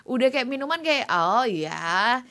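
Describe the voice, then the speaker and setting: A woman's voice: a little high, very animated, a little slow, bright, forceful tone. A woman from Solo with a slight Sumatran tinge to her Jakarta slang, co-hosting in a chatty, playful way full of fillers. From Solo, Central Java. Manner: chatty, playful, lots of fillers and acted asides. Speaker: podcast co-host. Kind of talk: casual chat.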